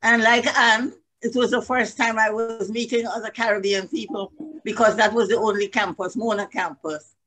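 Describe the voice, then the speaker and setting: A woman's voice: a little high, steady tone, moderate pace, fairly bright tone, low-quality recording. An older woman from Guyana, an alumna, sharing memories in an earnest, composed way on a video call. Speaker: alumna guest. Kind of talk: brief reminiscence on a video call.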